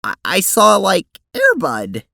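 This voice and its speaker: A woman's voice: mid-range voice, huge pitch swings, takes it slowly, gravelly, pushed and bright, faint music behind, exaggerated voice. A woman voicing a sheepish, stammering girl in an audio drama.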